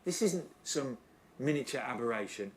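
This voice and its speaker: A man's voice: medium pitch, lively intonation, normal speed, a little hiss or hum. A man presenting a documentary on location, explaining with emphatic enthusiasm.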